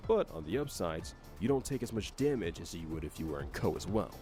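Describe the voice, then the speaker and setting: A man with a fairly low voice narrates a scripted game explainer. His intonation is lively, over faint background music. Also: brisk.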